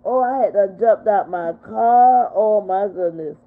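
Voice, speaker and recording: Animated fifty-year-old woman on a tinny line. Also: mid-range voice, unhurried. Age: 50s. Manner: animated, talks back to viewers. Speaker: livestream host. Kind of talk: casual chat reading live comments. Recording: phone-like narrow sound.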